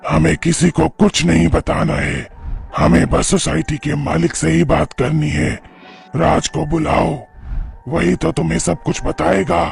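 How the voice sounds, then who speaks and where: A man's voice: fairly high pitch, level tone, a little slow, gravelly, breathy edge, exaggerated voice. A man voicing a vengeful female ghost who speaks in threats and commands in a horror cartoon. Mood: menacing. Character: vengeful female ghost possessing a young woman, menacing.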